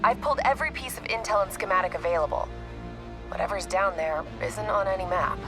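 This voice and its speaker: A woman's voice: medium pitch, fast, over music, natural voice. A woman playing a composed military intelligence operative in a video game, with a clipped, businesslike delivery. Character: brisk, composed female military intelligence operative on mission comms. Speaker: mission handler. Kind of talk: video game acting, tactical briefing.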